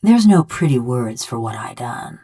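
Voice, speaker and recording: Casting: deep-voiced female killer in a metal body, video game. Wry, unrepentant, rustic backcountry talk, blunt delivery. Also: big pitch swings, moderate pace, dark tone, husky, heavily acted. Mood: defiant, grim. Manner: folksy rustic drawl, blunt and sardonic. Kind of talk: video game character dialogue.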